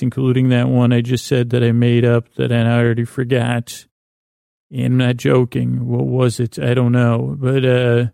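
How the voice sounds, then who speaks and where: A man hosting a bedtime podcast in a meandering, halting monologue, whimsical and self-deprecating, often trailing off mid-thought. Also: medium pitch, gravelly, warm tone, no echo, clean studio sound. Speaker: podcast host. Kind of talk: rambling bedtime monologue for listeners to fall asleep to.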